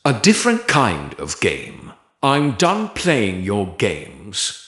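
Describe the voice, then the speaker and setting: A man narrating an audiobook voices a quiet, certain mafia boss in his thirties with deliberate, controlled menace. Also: fairly low pitch, wildly swooping pitch, roomy sound, heavily acted.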